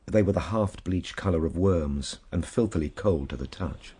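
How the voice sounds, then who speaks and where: An actor playing a grave Victorian time traveller, narrating his ordeal in a measured, formal radio drama style. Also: sits low, warm tone, breathy edge. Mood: grave, brooding. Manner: measured, formal literary delivery.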